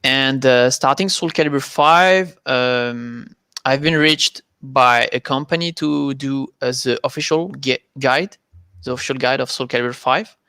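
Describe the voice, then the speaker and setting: Man with a bright French accent. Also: medium pitch, clean tone, no room sound. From France. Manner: halting, many fillers and repeated words. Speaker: guest, competitive fighting game player. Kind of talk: casual interview over a live video call.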